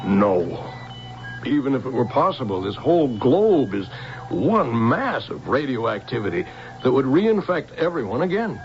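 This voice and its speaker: Gravelly, expressive fatherly scientist. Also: mid-range voice, unhurried. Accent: American. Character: protective older scientist, tender fatherly guardian to a young woman. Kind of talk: 1970s American radio drama acting. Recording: dull old radio recording with music.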